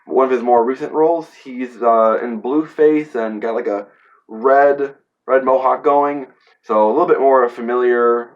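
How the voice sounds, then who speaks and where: A congested man with a cold talks through a movie review the way a teacher would address his class. His delivery is loose and chatty, full of fillers and joking asides, at an ordinary middle pitch. The recording sounds dull and lo-fi. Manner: rambling, with many fillers and playful asides. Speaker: history teacher reviewing a film.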